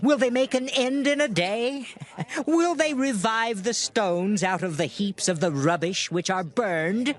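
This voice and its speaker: An actor playing a scornful enemy governor, mocking slowly in drawn-out questions, with loud music behind. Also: male voice, sits high. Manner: slow, drawn-out sneering questions.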